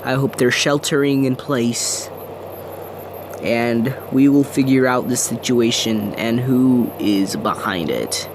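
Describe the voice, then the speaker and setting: A man playing a local TV news anchor, giving a halting, unsure breaking news report. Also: mid-range voice, noisy background. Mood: hesitant, earnest.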